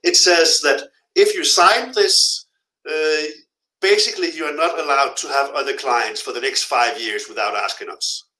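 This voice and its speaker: A man's voice: a little high, moderate pace, bright, forceful tone. A middle-aged man, a freelance translator, giving frank, blunt advice as a guest in a live interview. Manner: frank, blunt warnings with repeated emphasis. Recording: echoey room.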